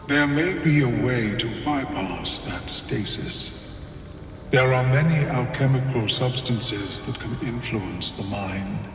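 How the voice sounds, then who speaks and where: Mind flayer for a game: unhurried, polite, clinical intellectual monster, cavernous echo, faint music behind. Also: male voice, mid-range voice, a bit bright. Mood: measured, cautioning. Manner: formal, measured, clinical. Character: calm scholarly male mind flayer, detached, politely clinical. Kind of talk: video game dialogue acting. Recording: echoing hall with long reverb, faint music.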